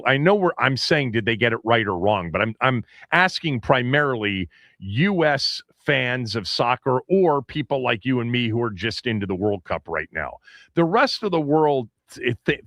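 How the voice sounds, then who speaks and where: A male sports radio host whose pitch swings widely as he questions callers. He interrupts often and argues his case hard. The sound is dry and close. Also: a bit bright, no echo. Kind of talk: call-in radio talk.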